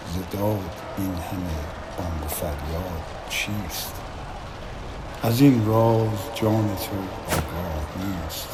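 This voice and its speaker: A man reciting classical verse in a poetic style, in a very noisy recording. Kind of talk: recitation of classical Persian poetry. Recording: clearly noisy, loud background rumble.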